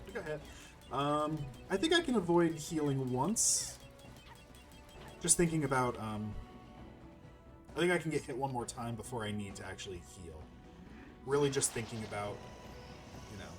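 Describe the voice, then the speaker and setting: A man's voice: mid-range voice, lively intonation, normal speed, long pauses. A man giving casual, chatty let's play commentary, thinking aloud over game music. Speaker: gaming video host.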